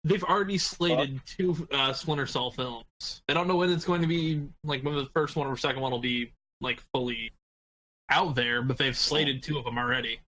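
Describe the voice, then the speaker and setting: A man's voice: medium pitch, moderate pace, pushed and bright, slight background noise, low-quality recording. A man speaking informally and with casual profanity in a podcast discussion. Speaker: podcast panelist.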